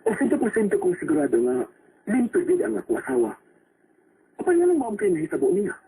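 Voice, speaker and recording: Troubled husband, animated high voice. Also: male voice, fast. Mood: troubled, pleading. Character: anxious husband confessing his troubles. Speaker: radio drama actor. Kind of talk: Philippine radio drama acting in Cebuano. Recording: noisy radio broadcast recording.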